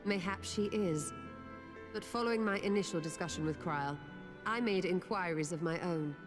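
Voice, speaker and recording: A woman's voice: lowish voice, moderate pace, natural voice. A woman playing a composed, exacting scholar mage in a fantasy game, speaking formally, precisely and with measure. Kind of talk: scripted fantasy game dialogue. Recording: long reverb, faint music.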